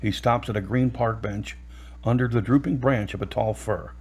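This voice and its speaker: A man with a husky voice reading scripted narration for an audio drama. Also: mid-range voice, moderate pace.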